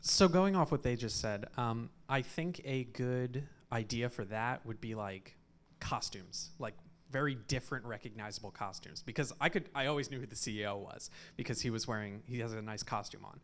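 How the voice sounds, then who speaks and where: A man in an audience offering casual, halting feedback in an informal discussion. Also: mid-range voice. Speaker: audience member giving feedback. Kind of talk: informal spoken critique.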